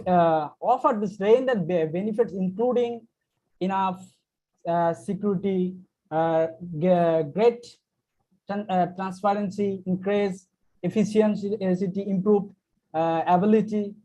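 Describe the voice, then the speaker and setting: A man from Bangladesh, speaking haltingly on an online call and pausing often to search for words. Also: sits high, dark tone. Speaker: meeting participant. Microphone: video call. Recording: dull lo-fi video call audio.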